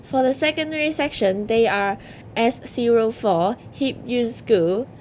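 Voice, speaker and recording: A female co-host reads out a prepared results announcement. Her pitch is somewhat high, and a light noise runs behind the voice. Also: unhurried, darkish tone. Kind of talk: scripted announcement.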